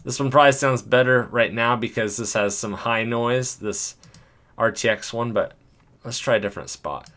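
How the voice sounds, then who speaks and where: A man with a deep voice, talking casually and thinking aloud as he walks through an editing tutorial, chuckling now and then. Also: moderate pace, fairly bright tone. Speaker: video creator.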